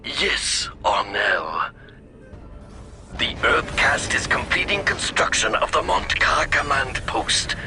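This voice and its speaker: A man's voice: medium pitch, very animated, takes it slowly, creaky, pushed and bright, soft background music, exaggerated voice. A man voicing a loyal alien military commander in a sci-fi strategy game, reporting formally and deferentially. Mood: resolute.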